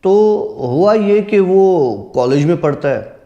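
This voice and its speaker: A man's voice: high-pitched, animated, slow pace, warm tone, highs cut off. A man telling personal stories in conversation, earnest and serious. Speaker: storyteller guest on a horror story show. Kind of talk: casual conversational storytelling.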